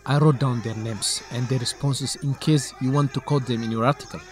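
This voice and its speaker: A man's voice: mid-range voice, fairly even intonation, fairly quick, natural voice. A man playing an earnest assistant to a reporter in a radio drama. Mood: earnest, thoughtful. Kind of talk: English-teaching radio drama dialogue.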